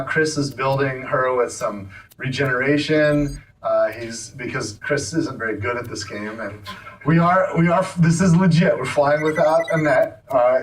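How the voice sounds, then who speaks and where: A man's voice: mid-range voice, far from the mic, poor audio. A man giving loose live commentary on a game demo, with lots of fillers. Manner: casual, hesitant, many fillers. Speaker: game developer.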